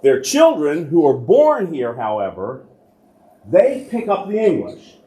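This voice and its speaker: A man's voice: on the high side, wildly swooping pitch, a little slow, warm tone. Man lecturing in an echoey church hall. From United States. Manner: conversational, asks and answers his own questions. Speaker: church archivist giving a history talk. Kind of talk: informal history lecture to a congregation. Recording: roomy echoing hall.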